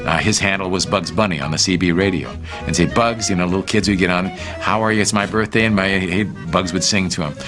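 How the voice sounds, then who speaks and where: A man's voice: low-pitched, fairly quick, music in the background. A man reminiscing informally in an interview.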